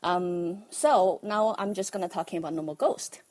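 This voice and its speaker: Halting woman with a Taiwanese accent. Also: on the low side, a little mellow. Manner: halting, many ums, nervous laughs. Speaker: livestream host, life coach. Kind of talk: casual livestream talk.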